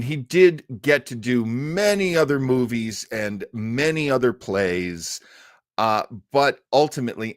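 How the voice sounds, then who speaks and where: A man with a very expressive, varied pitch hosts a casual stream at an easy, slow pace. He rambles and interrupts himself as he hunts for words. The sound is close and dry. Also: no room sound. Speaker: online show host. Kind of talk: casual live-stream chat about old radio shows.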